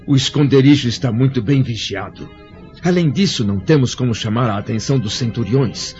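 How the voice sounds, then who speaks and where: Male actor as dignified imprisoned senator, grave Brazilian radio-play delivery, mid pitch, muffled recording. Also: brisk, a little mellow, natural voice. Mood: resigned. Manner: formal, grave, theatrical. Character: dignified, troubled Roman senator held prisoner. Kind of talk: Brazilian radio drama acting. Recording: dull lo-fi radio drama, faint music.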